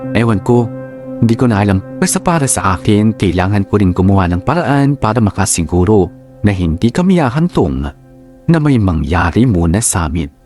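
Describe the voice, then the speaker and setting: Man reading as anxious letter-writer, expressive Filipino delivery. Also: lowish voice, moderate pace. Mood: troubled, confiding. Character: anxious, distrustful young woman confiding her doubts. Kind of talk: scripted dramatic letter narration. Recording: music bed under narration.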